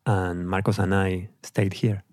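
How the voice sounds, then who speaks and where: A middle-aged man from Argentina with an Argentine accent and Spanish as his first language, telling his story as an interview guest with hesitant pauses and fillers. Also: low voice, level tone, a little mellow, no echo, clean studio sound. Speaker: guest musician.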